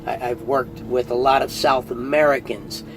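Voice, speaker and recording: A man's voice: medium pitch, fairly even intonation, normal speed, a bit bright, a little hiss or hum, low-quality recording. A middle-aged man giving a casual, earnest spoken review, chatty and often restarting phrases. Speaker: audiobook reviewer.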